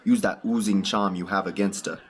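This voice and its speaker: A man playing a brisk, bossy ringleader hatching a scheme in a radio drama. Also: deep voice, fairly even intonation, slight background noise, lightly acted. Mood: conspiratorial. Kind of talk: school radio drama acting.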